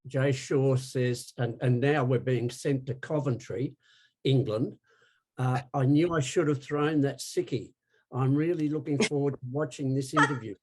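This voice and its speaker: A man with a medium-pitched voice acts as co-host. He reads written viewer questions aloud in an informal interview style. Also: a little slow, darkish tone, husky. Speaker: podcast co-host.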